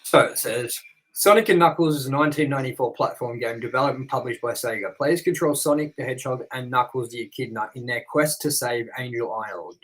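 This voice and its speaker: A man's voice: mid-range voice, brisk, a bit bright, poor audio. A man in his thirties co-hosting a movie review show, chatting casually and telling rambling stories with casual swearing.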